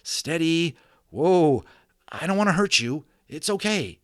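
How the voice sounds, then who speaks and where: A man's voice: fairly high pitch, expressive, slow, a bit bright, no room sound, clean studio sound, pitched above the performer's own voice. Man as a bossy, urgent young boy. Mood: anxious, soothing. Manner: urgent, instructing. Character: bossy, anxious young boy taking charge, storybook.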